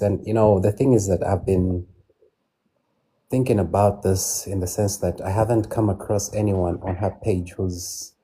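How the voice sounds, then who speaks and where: A man's voice: low-pitched, dark tone, breathy edge, low-quality recording. A man from Zambia calling into a livestream to share his story, hesitant and full of fillers and restarts. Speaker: caller sharing his story. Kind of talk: livestream call-in testimony.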